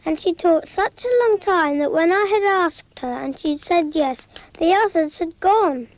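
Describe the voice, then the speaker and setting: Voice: very high-pitched, measured pace, dry recording, poor audio. An actor voicing a small child who narrates a cautionary cartoon in a simple, childlike storytelling way. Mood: earnest.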